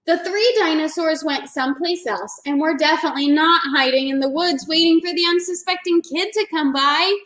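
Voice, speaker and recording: Woman teacher, storybook read-aloud, playful and animated, high voice, echoey room. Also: normal speed, few pauses. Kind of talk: reading a picture book aloud.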